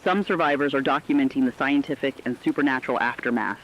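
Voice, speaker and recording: A man playing a serious radio reporter, delivering scripted emergency narration in a measured way. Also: mid-range voice, lo-fi sound, slight background noise, natural voice. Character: serious male radio reporter covering a werewolf catastrophe.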